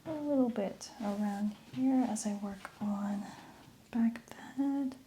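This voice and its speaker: A woman speaking in a soft, breathy half-whisper, gently explaining each step in an ASMR stylist roleplay. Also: medium pitch, measured pace, a little hiss or hum. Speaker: ASMR creator playing a hair stylist.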